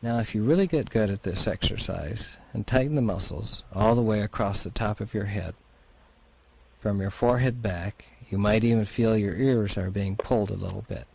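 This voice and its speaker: A man leading a guided relaxation exercise as a therapist, in a soothing, unhurried, gently instructing manner. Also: fairly low pitch, gravelly, breathy edge, low-quality recording.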